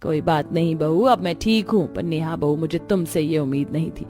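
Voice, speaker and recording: A gentle old mother-in-law from a village tale, voiced by a woman. She is forbearing and mild, with a mellow timbre. Light music plays underneath. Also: deep voice, moderate pace, pitched below the performer's own voice, natural voice. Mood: quiet disappointment. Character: patient, gentle old mother-in-law, poor vegetable seller.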